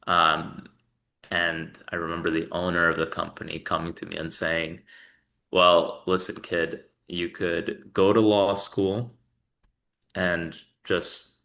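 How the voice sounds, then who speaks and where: A young man talks as a podcast guest, easygoing and loose, full of "um"s and restarts. His voice sits very low and stays level, with a bright, forceful edge. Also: takes it slowly, vocal fry. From New York, United States. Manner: relaxed, rambling with many fillers and restarts. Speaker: guest, company founder. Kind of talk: casual interview conversation.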